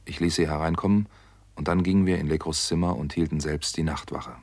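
A man's voice: extremely low pitch, steady tone, brisk, a little mellow. An actor playing a doctor turned sleuth in a 1960s radio crime drama, telling his case in a terse, matter-of-fact way. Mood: grave, matter-of-fact.

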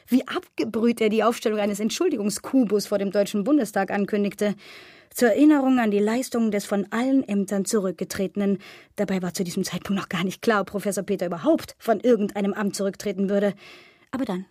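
A woman acting in a radio drama monologue as a bitter, betrayed agency employee, narrating her thoughts with measured irony. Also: medium pitch, warm tone, no echo, studio quality, close to an everyday voice. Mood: bitter, stunned. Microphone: close, dry studio microphone.

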